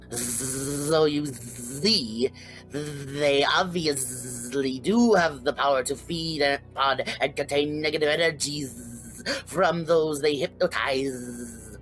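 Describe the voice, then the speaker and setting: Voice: medium pitch, a bit bright, rough recording. Narrator doing a fawning buzzy fly-mutant scientist guy, drags every word out, cartoon fanfic. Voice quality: buzzing. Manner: halting, drawn-out, deferential.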